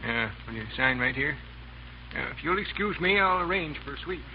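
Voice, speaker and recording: A man's voice: a little high, animated, moderate pace, highs cut off, lightly acted. A man playing folksy hotel clerk, old radio. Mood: wary, obliging. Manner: folksy, hesitant. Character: suspicious but obliging small-town male hotel clerk. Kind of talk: 1930s radio acting. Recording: band-limited old radio, faint noise.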